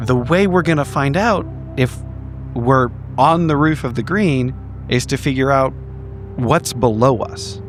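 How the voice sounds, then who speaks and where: Man, mid-pitched, tabletop roleplayer, argumentative, casual swearing, prominent music underneath. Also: a little slow. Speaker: tabletop game player. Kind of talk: improvised in-character roleplay talk.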